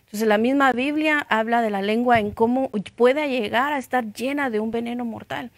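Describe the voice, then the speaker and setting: A woman's voice: a little high, normal speed, no room sound. A middle-aged woman with a Guatemalan accent, giving reflective, conversational Bible teaching as a pastor. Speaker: pastor co-teaching with her husband.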